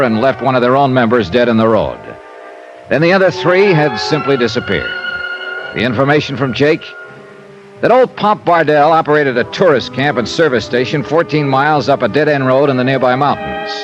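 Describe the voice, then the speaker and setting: Guy playing breezy wry private investigator, swingy lively pitch, old radio show, muffled audio w music. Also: close to an everyday voice. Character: breezy, wry, cool-headed freelance insurance investigator. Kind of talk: 1950s radio detective acting and narration. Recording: muffled vintage radio recording, loud music.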